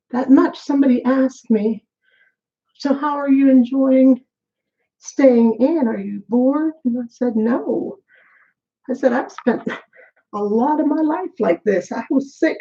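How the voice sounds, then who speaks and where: A woman's voice: a little high, creaky, warm tone, lo-fi sound. A middle-aged woman vlogging in a candid, rambling chat, short of breath as she braids her hair. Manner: rambling, candid, talks to herself. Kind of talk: casual chat. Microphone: camera while braiding her hair.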